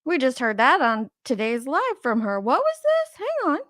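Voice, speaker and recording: A woman's voice: high voice, huge pitch swings, normal speed, a bit bright, dry recording, studio quality. A woman hosting a casual livestream, sarcastic and indignant, swearing freely, with a voice she calls whiny and babyish. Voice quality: describes her own voice as whiny and babyish. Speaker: YouTube livestream host.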